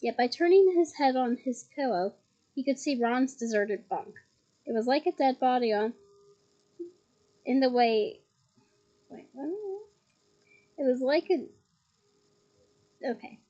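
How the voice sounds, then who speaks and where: A slightly hoarse woman reading a novel aloud at home, breaking off for chatty, giggly asides. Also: high-pitched, unhurried, pauses often, dark tone, dull audio. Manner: chatty, giggly asides between read passages. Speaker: reader of a novel. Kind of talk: casual read-aloud with asides. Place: at home on her bed.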